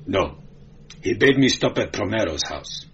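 A man's voice: on the low side, animated, unhurried, breathy edge, lo-fi sound, exaggerated voice. A man voicing a bitter, sullen enslaved charioteer who answers plainly in an audiobook story. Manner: sullen, matter-of-fact. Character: bitter, sullen male slave charioteer, unafraid.

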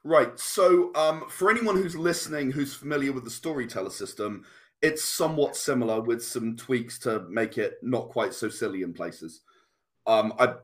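British man explaining in a conversational way. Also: mid-range voice. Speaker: guest, tabletop RPG and comic creator.

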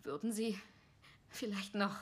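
A woman's voice: mid-range voice, measured pace, close to an everyday voice. A woman playing a timid, upset hotel guest, speaking tentatively and pleadingly in a dubbed TV drama.

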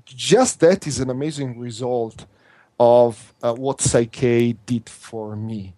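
An Italian-accented man, a native Italian speaker, speaks in an earnest, halting way, circling back and restarting his phrases. His voice sits at an ordinary middle pitch. The sound is dry and close, with no room echo. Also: mellow. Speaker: interview guest, workshop instructor. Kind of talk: earnest conversational interview.

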